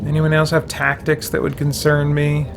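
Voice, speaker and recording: A man's voice: fairly high pitch, completely flat delivery, normal speed, gravelly, over music. A man hosting a video game let's play, giving casual commentary, muttering to himself and reacting theatrically in role. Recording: loud game noise behind voice. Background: video game sound.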